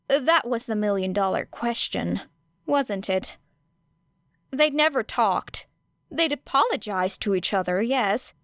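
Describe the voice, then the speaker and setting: A woman reading fan fiction aloud as an audiobook, in a measured storytelling manner. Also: mid-range voice, clear, resonant tone, no room sound, clean studio sound. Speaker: podfic narrator.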